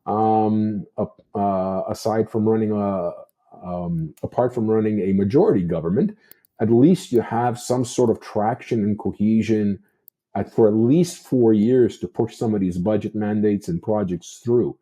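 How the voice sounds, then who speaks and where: A man's voice: lowish voice, a little slow, mellow. A Canadian man giving unscripted political commentary as a talk show host, discursive and repeating himself for emphasis.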